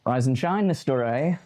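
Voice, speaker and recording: British guy doing a stuck-up victorian gent, clipped and formal, warm low tone, faint music. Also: slight background noise. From United Kingdom. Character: stiff, proud upper-class Victorian gentleman. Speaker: actor.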